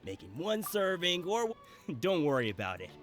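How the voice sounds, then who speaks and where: A woman's voice: on the low side, very animated, moderate pace, faint music behind. A woman playing a kindly, teasing, generous townswoman in an anime video game. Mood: warm, teasing.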